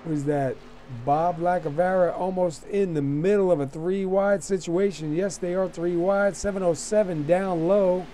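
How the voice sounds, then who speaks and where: A man doing play-by-play commentary on a simulated dirt race, a little hoarse from being sick, with many fillers and bursts of excitement. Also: high-pitched, a little slow, a little hiss or hum. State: losing his voice after being sick. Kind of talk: sim racing commentary.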